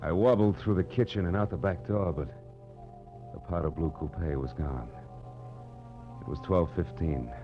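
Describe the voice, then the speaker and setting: An actor playing a hard-boiled, weary private detective, narrating and talking in terse, wry wisecracks in 1940s noir radio style. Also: male voice, deep voice, moderate pace, lightly acted. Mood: groggy, wry. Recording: muffled old radio recording, music behind.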